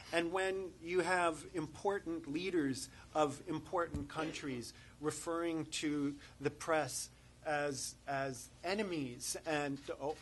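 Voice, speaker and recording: A man answering reporters at a press conference, emphatic and halting with frequent fillers. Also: on the high side, a little hiss or hum. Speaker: human rights advocate at press conference. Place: press briefing room.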